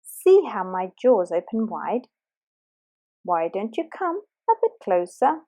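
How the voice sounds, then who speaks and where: A sly, grinning storybook shark, put on by a woman reading aloud, coaxing you closer in a sweet, unhurried voice. The pitch rises and dips playfully. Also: sing-song, warm tone, heavily acted.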